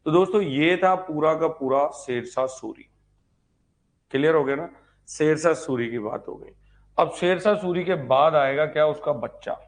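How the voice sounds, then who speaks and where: A man's voice: on the high side, moderate pace. A man giving an informal online history lecture as a teacher, repeating key points and asking check questions. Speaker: history teacher for exam preparation.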